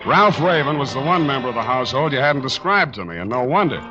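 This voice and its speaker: An actor playing a sardonic, hardboiled private detective, wisecracking and narrating in 1940s radio style. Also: male voice, medium pitch, big pitch swings, fast talker, natural voice. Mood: wry, atmospheric narration. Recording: dull 1940s radio recording, music behind.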